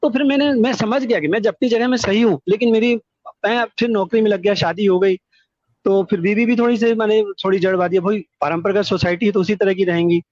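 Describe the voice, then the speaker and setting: High-pitched middle-aged man on a dull call. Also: fairly quick, a little mellow, dry recording. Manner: earnest, wordy, repeats words for emphasis, often addresses listener as sir. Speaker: call-in guest telling their life story. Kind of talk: personal testimony in conversation. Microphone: phone call.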